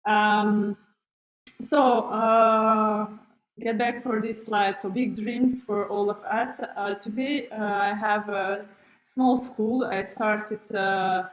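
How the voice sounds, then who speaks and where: A woman's voice: mid-range voice, fairly even intonation, slow pace, roomy sound, slight background noise, low-quality recording. A woman in her thirties from Kazakhstan giving a live online presentation through her webcam, talking with many fillers and restarts in phrasing. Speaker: webinar presenter, school founder. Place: room with plain wall, indoors. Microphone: webcam on a computer, video call framing.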